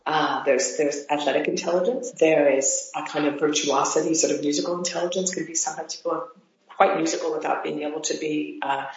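A woman who is a language learner, sharing her views thoughtfully and pausing to find words in a lesson conversation. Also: low voice, fairly even intonation, slight background noise. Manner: thoughtful, reflective speaking with small hesitations. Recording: dull roomy echo.

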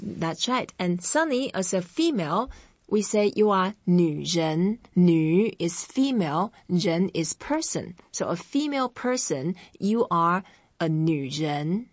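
A woman with a Chinese accent, a native Chinese speaker, teaching vocabulary in a language lesson and saying each syllable slowly and clearly. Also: lowish voice, lively intonation, no echo, muffled recording. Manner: slow, clearly separated syllables when modelling words. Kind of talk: language lesson with repeat-after-me drills.

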